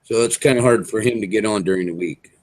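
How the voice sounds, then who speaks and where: A man in his fifties with a lowish voice talks easily on a video call, loose and chatty. He fills pauses with drawn-out "you know"s and keeps the talk relaxed. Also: rough recording. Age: 50s. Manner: easygoing, chatty, drawn-out fillers. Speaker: host. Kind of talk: casual video hangout chat.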